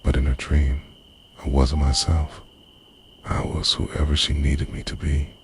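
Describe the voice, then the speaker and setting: A man's voice: rumbling low, level tone, unhurried, vocal fry, darkish tone, a little hiss or hum, full character voice. A man playing a lovestruck, obsessive dream invader in an intimate ASMR monologue, confessional, brooding and half-whispered. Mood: wistful, devoted.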